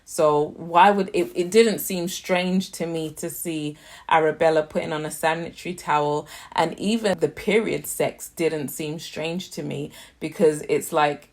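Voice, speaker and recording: A young British woman casually reviewing a TV series, chatty and slangy with animated asides. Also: deep voice. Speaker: YouTube TV reviewer.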